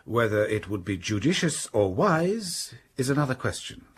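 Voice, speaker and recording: A man's voice: mid-range voice, big pitch swings, moderate pace, husky. An actor playing a stern young clergyman torn by love in a radio drama, speaking restrained and earnest.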